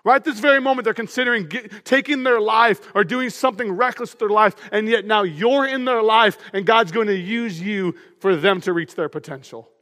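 A man with a high voice preaches a sermon in a loud, energetic and emphatic way. His voice rings out through a church auditorium with a long hall reverb. He speaks to the congregation in front of him. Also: normal speed, hardly any pauses. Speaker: pastor.

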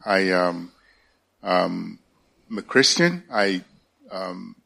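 A man in his sixties with a Nigerian accent, giving a halting personal faith testimony at a church service. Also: low voice, creaky, bright, forceful tone. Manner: halting, searching for words. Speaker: church elder candidate.

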